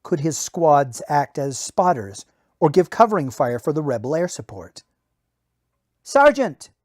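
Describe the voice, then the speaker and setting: A man with a slightly high voice, sounding like a podcast host who narrates books as an amateur. The recording is close and very dry, with hardly any room sound. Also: lively intonation, moderate pace, a little mellow, no room sound. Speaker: podcast host reading a novel excerpt. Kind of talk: casual introduction and amateur audiobook-style narration.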